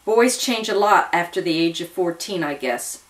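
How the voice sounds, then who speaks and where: A woman voicing a gentle, apologetic man in a story who speaks softly and earnestly of love. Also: on the low side, expressive, moderate pace, roomy sound, distant mic, slight background noise, close to an everyday voice. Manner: soft, earnest.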